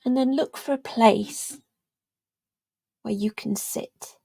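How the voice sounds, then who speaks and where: A woman speaks as a meditation guide, slow and soothing with long pauses. Her voice is husky and very creaky, often fading toward a whisper. The sound is close and dry. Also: a little high, no room sound. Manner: gentle, soothing, unhurried with long pauses, trailing into near-whisper. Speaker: meditation guide, yoga teacher.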